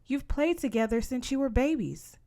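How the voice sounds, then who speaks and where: Storybook mother, played by a female narrator: firm, coaxing, commanding, quick to tease. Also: high-pitched, lively intonation, warm tone, pitched above the performer's own voice. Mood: matter-of-fact. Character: firm, no-nonsense Mexican American mother, teasing.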